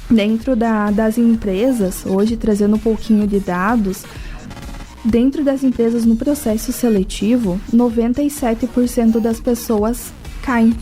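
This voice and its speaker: A woman's voice: a little high, fairly even intonation, measured pace, dark tone, soft background music, poor audio. A woman with a southern Brazilian accent explains things in a didactic way in a radio interview, often asking rhetorical questions and adding check tags. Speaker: interview guest from a language school.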